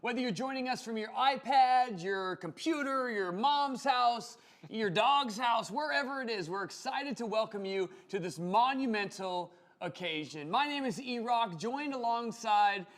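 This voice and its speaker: A man co-hosting a show, talking with energetic, playful banter. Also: very high voice, measured pace. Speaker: co-host.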